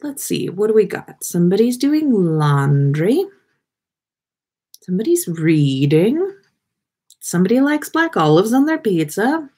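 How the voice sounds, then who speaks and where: A woman's voice: medium pitch, big pitch swings, unhurried, long pauses, dark tone, breathy edge. A woman hosting a casual livestream, chatting with viewers in a playful, rambling way as she thinks aloud. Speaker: livestream podcast host. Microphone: webcam livestream setup.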